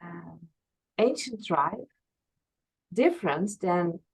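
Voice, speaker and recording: A woman's voice: lowish voice, big pitch swings, slow pace, creaky, slightly rough, rough recording. A woman from Friesland with a Dutch accent, a past life regression therapist talking earnestly and openly as an interview guest. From Friesland, Netherlands. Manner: earnest, open, laughs at herself. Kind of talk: reflective conversational interview.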